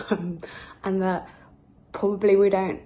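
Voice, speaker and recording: A woman explaining in an interview, in an even, mildly amused way. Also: mid-range voice, slow pace, phone-quality sound.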